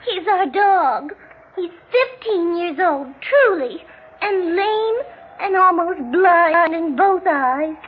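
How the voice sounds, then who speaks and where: An actress playing a fretful, affectionate, childlike daughter in a 1960s radio drama, speaking in a prim, melodramatic way. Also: female voice, extremely high pitch, expressive, takes it slowly, exaggerated voice. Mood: tearful. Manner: melodramatic, prim, old-fashioned turns of phrase. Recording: muffled, noisy 1960s radio recording.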